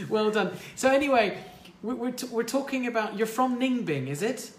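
A man's voice: extremely high pitch, expressive, moderate pace. A man hosting a casual call-in chat, upbeat and encouraging, often repeating his phrases. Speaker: host. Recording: echoey room, faint noise.

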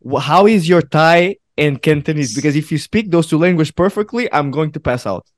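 A man hosting a podcast in casual chat, animated and laughing, often repeating phrases for emphasis. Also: on the high side, brisk, no room sound, clean studio sound. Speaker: podcast host.

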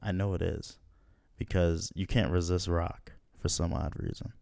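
A man's voice: sits low, steady tone, normal speed, creaky, dark tone. A young man hosting a casual podcast at home, slangy and laid-back, swearing often. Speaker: podcast host. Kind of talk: casual profane podcast chat.

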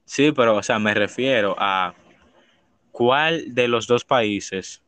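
A man's voice: mid-range voice, slow pace, clear, resonant tone, no echo. A man with a Dominican accent hosting a casual podcast chat, playful and teasing. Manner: playful, teasing, laughs loudly. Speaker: podcast host.

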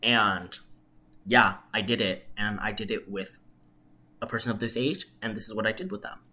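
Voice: medium pitch, fairly even intonation, normal speed, sharp tone. A podcast co-host chatting casually about their opinions in an emphatic, slangy way. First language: non-English first language. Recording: clear background noise.

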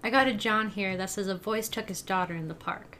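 A woman's voice: medium pitch, brisk, bright, forceful tone, a little hiss or hum, lightly acted. A woman playing a composed, firm young police officer with a sarcastic streak in an audio drama. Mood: dry, sarcastic.

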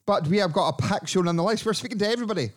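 A man's voice: high-pitched, rapid-fire. A man hosting a podcast in a casual, joking way. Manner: chatty, jokey, reads sponsor plugs. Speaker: podcast host.

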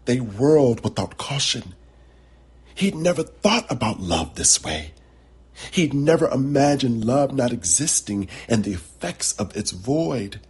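A man narrating a literary short story as its author, with deliberate, dramatic phrasing. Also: mid-range voice, very animated, a little mellow, breathy edge. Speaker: author reading his own short story.

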